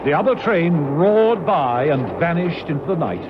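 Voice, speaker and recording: A man's voice: fairly high pitch, lively intonation, normal speed, natural voice. A man narrating a spy radio serial in an urgent, dramatic storytelling manner. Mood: suspenseful. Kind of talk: scripted suspense radio narration. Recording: muffled old radio, loud train noise.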